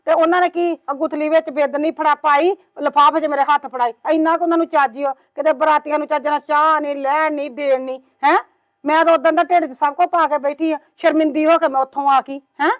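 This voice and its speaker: A woman's voice: sits high, fairly even intonation, a little fast, no echo, natural voice. A woman playing a proud, complaining mother-in-law, sarcastic and nagging. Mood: indignant. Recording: muffled lo-fi, dry.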